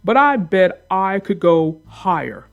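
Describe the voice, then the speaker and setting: Storybook diesel engine, male, cocky and bossy, loud delivery, played by a woman. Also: fairly low pitch, very animated, slow pace, creaky, highs cut off, soft background music, pitched below the performer's own voice, heavily acted. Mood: bragging.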